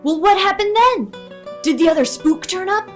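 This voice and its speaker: Woman playing an eager, high-pitched young boy. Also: expressive, normal speed, soft background music. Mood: curious. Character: eager, wide-eyed young boy listening to a story. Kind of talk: old-time radio play acting.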